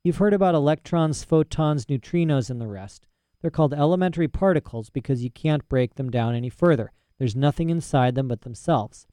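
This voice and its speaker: A man reading a story aloud and voicing a stern, detached nobleman who speaks quietly and evenly. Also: fairly high pitch, a little fast, dry recording, clean studio sound, lightly acted. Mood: calm cold. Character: cold, calm, stern aristocratic father.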